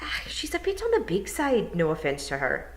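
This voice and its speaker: A woman's voice: mid-range voice, wildly swooping pitch, normal speed. A woman voicing gossiping, rowdy little male pixies with Scottish accents in a storybook reading. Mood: gossipy, teasing. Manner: blunt, dialect-heavy.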